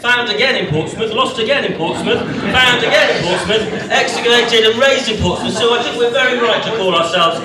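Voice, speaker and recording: A man's voice: extremely high pitch, fairly quick, hardly any pauses. A man speaking for a museum at a launch event, proud and emphatic, recorded from the back of a crowded hall. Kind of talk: formal speech. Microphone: recorder held toward the back of the room. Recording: echoey hall from far off, crowd noise.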